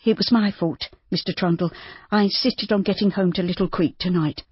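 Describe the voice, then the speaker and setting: Judy, a reader's high anxious young woman. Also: fairly even intonation, fairly quick, breathy edge, dry recording, highs cut off, close to an everyday voice. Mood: anxious, polite.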